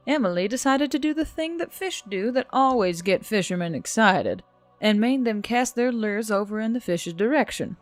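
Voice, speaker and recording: A woman reading scripted fiction as a narrator, expressive and animated, with wry humour. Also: medium pitch, no echo. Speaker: audiobook-style narrator. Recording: dry close voice over music. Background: background music.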